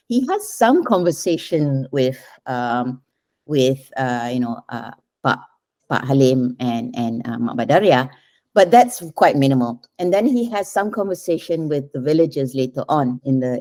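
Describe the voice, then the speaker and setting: A woman's voice: low voice, a little mellow. A woman. She explains her work as a writer in a reflective interview style, often checking her points with short tag questions. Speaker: writer interviewed about adapting a short story.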